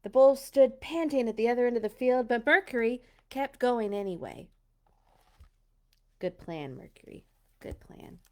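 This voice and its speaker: A woman reading a children's storybook aloud, dramatic and expressive, with little personal asides. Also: on the high side, normal speed. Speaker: storytime reader.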